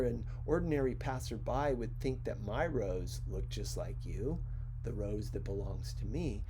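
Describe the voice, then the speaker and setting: Brief: earnest young prince voiced by a male reader, heartfelt, slow, noisy background. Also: a little high, warm tone. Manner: heartfelt, insistent.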